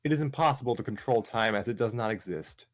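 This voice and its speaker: A man voicing a calm, pedantic, condescending toad creature from the future in a comedy script read. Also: mid-range voice, fast, close to an everyday voice.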